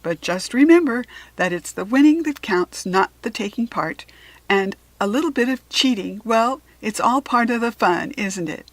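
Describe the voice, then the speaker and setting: A hearty male sports-day organizer from a children's storybook, put on by a woman. He projects loudly and stresses his words like an announcer, with a husky edge. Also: a little high, lively intonation, moderate pace, dry recording, low-quality recording. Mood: hearty, welcoming. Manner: loud, emphatic announcer delivery. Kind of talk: children's storybook character reading.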